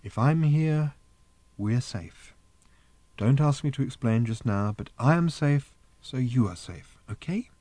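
A man voicing a tranquil, reassuring father in a story, speaking softly and soothingly. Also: mid-range voice, lively intonation, measured pace, no echo. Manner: soft, soothing.

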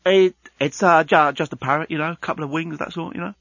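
A man's voice: fairly high pitch, steady tone, quick pace, a bit bright, no echo, muffled recording, pitched above the performer's own voice. A man voicing a nervous, evasive workman with a hesitant, stammering delivery in a storybook. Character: shifty male ship worker, nervous and evasive.

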